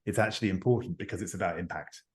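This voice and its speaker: A man's voice: low-pitched, very fast, a little mellow. A middle-aged man speaking as a guest over a video call, earnest and reflective, often restarting his phrases. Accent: non-native to France, English-speaking. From not France; lives near Paris. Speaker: guest, author and executive advisor. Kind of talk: podcast interview answers. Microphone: remote video call. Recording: echoey room on video call.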